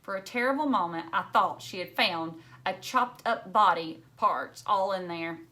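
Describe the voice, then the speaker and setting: A woman narrating a children's novel in an expressive read-aloud style. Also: lowish voice, measured pace. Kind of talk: expressive storybook reading.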